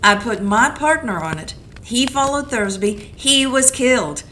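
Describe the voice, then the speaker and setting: A tough female private eye in a radio play, played by a woman, talking clipped and blunt. Her voice is bright and forceful, with a faint hiss behind it. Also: fairly high pitch, lively intonation, unhurried. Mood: accusing. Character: hard-boiled female private detective. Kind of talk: community radio play acting.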